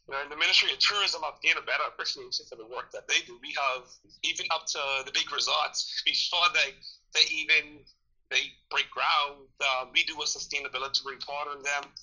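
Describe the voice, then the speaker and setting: A man's voice: fairly high pitch, pushed and bright, muffled recording. A man from the Bahamas with a Bahamian accent, answering interview questions casually and expressively, often repeating phrases for emphasis. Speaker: interviewee, tourism ministry worker.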